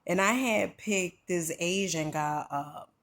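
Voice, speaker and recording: A woman's voice: lowish voice, slow, a bit bright. A middle-aged woman from New Jersey giving an earnest motivational talk, conversational and full of "you know" and "right?".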